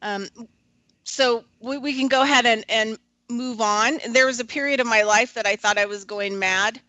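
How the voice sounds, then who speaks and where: A woman hosting a radio show, talking reflectively and hesitantly with many pauses. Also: fairly high pitch, steady tone, pushed and bright, no room sound, low-quality recording.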